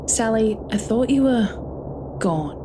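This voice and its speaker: A woman's voice: on the high side, lively intonation, takes it slowly, background noise. A woman voicing a grieving, hurt woman pleading with her sister in an audio story. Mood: hurt, shaken. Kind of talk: audio story character dialogue.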